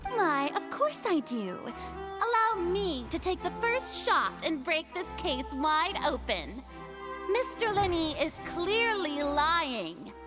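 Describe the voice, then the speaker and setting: A woman's voice: very high voice, big pitch swings, slow pace, music in the background, exaggerated voice. A woman voicing a vain, theatrical young noble who speaks with grandiose, showy courtroom flourish in a game cutscene.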